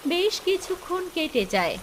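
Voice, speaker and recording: A woman's voice: very high voice, sing-song, normal speed, slight background noise. A woman reading scripted storybook narration for a cartoon folk tale.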